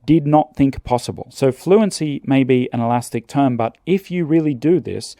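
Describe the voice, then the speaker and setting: A man calmly narrating scripted language-learning advice in a measured, earnest way. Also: mid-range voice, dry recording, clean studio sound.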